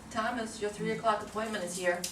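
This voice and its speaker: A woman playing an eager, high-energy assistant who gushes about her boss in an amateur play reading. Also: steady tone, brisk, close to an everyday voice. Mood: businesslike, eager. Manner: gushing, eager. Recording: echoey room from far off, faint noise.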